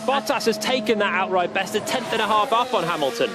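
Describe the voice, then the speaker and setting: A man's voice: sits high, fast talker, over loud music. A man co-commentating live on a car race in an analytical, explanatory way.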